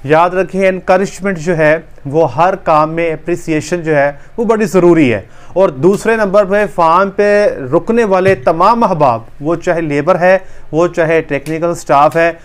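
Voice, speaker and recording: A man, a veterinary doctor talking casually to camera in a small room on a lapel mic, earnest and gesturing as he explains. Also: sits high. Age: 30s. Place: small indoor room with wood-panelled wall.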